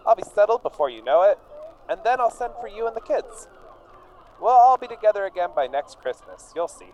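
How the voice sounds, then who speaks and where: A man's voice: high-pitched, big pitch swings, brisk, darkish tone, rough recording. An actor playing an earnest, defensive immigrant painter down on his luck in a radio drama. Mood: hopeful, reassuring. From England. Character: John Terse, struggling English immigrant painter, earnest and defensive.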